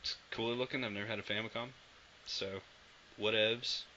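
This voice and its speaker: A man with a bright, sharp voice, pitched a little low, chatting loosely as a podcast co-host. He rambles and swears offhandedly. The audio is dull and lo-fi, with a faint hiss behind it. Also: steady tone, normal speed. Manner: rambling, swears casually, laughs often. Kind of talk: casual chat.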